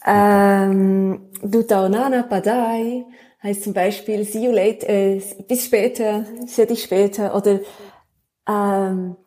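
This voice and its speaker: A woman's voice: medium pitch, fairly even intonation, takes it slowly, warm tone. A Swiss woman with a Swiss German accent, a crime author chatting as a guest in a casual podcast talk. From Switzerland.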